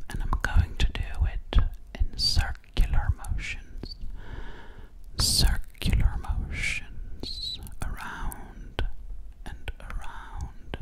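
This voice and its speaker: A young woman performs ASMR personal attention in a soft, breathy whisper. She speaks extremely slowly and gently, very close to the microphone, soothingly repeating her words. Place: small indoor room. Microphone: microphone right at the mouth.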